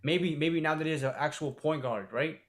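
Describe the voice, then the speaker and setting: A man's voice: a little high, brisk. A man co-hosting a sports podcast, talking loosely with lots of filler and swearing. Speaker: podcast co-host. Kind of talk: casual sports chat.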